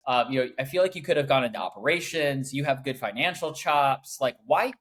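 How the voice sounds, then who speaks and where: A man in a mid-range voice who hosts a business podcast. He asks his guest friendly, encouraging questions in a relaxed, conversational way. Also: mid-range voice, fairly quick. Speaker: podcast host. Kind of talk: casual interview chat.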